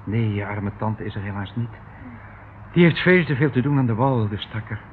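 A man's voice: lowish voice, animated, moderate pace, phone-quality sound, close to an everyday voice. A thin, hissy radio-play recording carries a male actor as a middle-aged uncle, pompous and falsely doting. His voice swoops theatrically as he rambles and patronises, slippery and evasive throughout. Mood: unctuous, evasive. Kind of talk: Dutch radio play acting.

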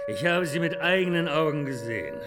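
A man's voice: a little high, animated, brisk, over loud music. A man playing an insistent, awed storyteller in melodramatic film dialogue. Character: insistent storyteller swearing he saw treasure.

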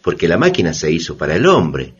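An actor playing a wry, didactic explainer with an ironic, emphatic manner in a satirical educational radio drama. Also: male voice, low voice, wildly swooping pitch, fairly quick, slightly rough, muffled recording, heavily acted. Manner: ironic, emphatic, rhetorical questions. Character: wry, didactic male explainer debunking foreign patents.

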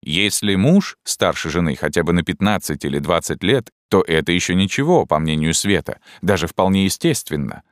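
A man reading a literary story aloud as an audiobook narrator, with expressive, measured delivery and drawn-out emphasis. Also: deep voice, no room sound, studio quality.

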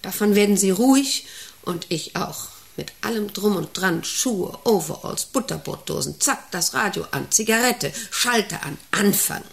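An actress in a radio play as a domineering, eccentric factory director who rambles, commands and asks intrusive questions. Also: female voice, mid-range voice, lively intonation, normal speed, gravelly. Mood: brooding, rapt. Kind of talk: German radio play acting.

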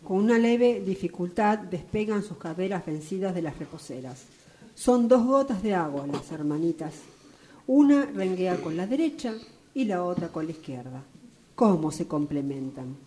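A woman's voice: on the low side, expressive, normal speed, dark tone, slight background noise. A woman reading scripted narration for a radio drama.

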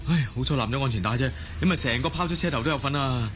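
An actor with a Hong Kong Cantonese accent playing an excitable, anxious young man, a loyal friend, in an animated radio drama style. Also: medium pitch, fast, slight background noise, close to an everyday voice. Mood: anxious, hurried. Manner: animated, exclamatory. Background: loud music.

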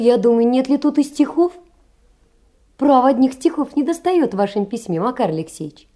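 An actress playing a poor, lonely young seamstress in an audio play, tender and emotional, often dropping to a breathy whisper. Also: female voice, mid-range voice, dark tone, natural voice. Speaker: stage actress. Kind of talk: theatrical audio-play monologue of letters.

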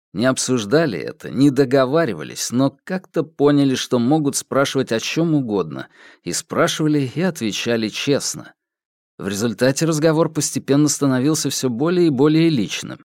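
Male narrator, lowish-to-medium voice, animated pitch movement, scripted fiction reading, dry studio-like sound. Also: no room sound. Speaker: audiobook narrator.

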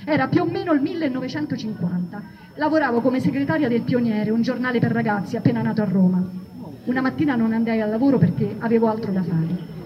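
A woman giving a theatrical reading of a first-person memoir, acting out the shouts and quoted speech. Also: on the high side. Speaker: reader at a theatre event. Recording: distant mic, dull sound, audience noise.

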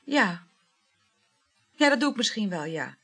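A woman's voice: medium pitch, very animated, a little slow, fairly bright tone, breathy edge, exaggerated voice. An actress in a radio play, playing a flirtatious, gushing woman who juggles several lovers and chats effusively with sighing exclamations. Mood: chatty, pleading. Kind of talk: naturalistic radio play acting.

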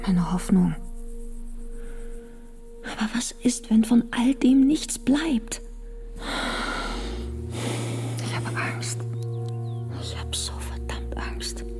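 A woman's voice: fairly high pitch, animated, slow pace, long pauses, dark tone, in a whisper, faint music behind. A woman playing an impassioned, idealistic revolutionary in a theatrical stage drama. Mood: frightened, doubting.

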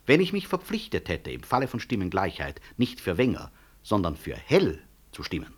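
An upright, unyielding medical professor in a 1960s radio drama. His low, husky voice is animated yet measured. He speaks with dry, pointed irony. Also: full character voice. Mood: firm, pointed. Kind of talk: 1960s German radio play acting.

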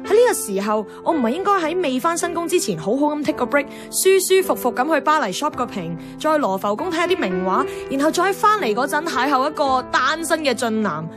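An actress playing a self-deprecating, wistful young career woman in a Hong Kong Cantonese radio drama, expressive and colloquial with English words mixed in. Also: high voice, brisk, few pauses, music in the background. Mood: exasperated. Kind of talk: radio drama dialogue and monologue.

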